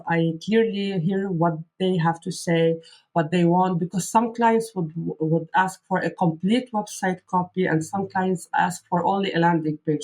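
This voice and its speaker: A woman's voice: on the low side, a little mellow, rough recording. Candid woman, Arabic first language. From Lebanon. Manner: earnest, candid, frequent fillers and restarts. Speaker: guest copywriter. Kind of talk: casual interview answers.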